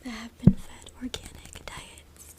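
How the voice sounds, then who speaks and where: A woman reading aloud in a soft, hushed, deliberate ASMR whisper, close to a USB microphone. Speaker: ASMR creator reading from a book. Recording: noisy close USB mic with clicking. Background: noise, tapping and clicking sounds.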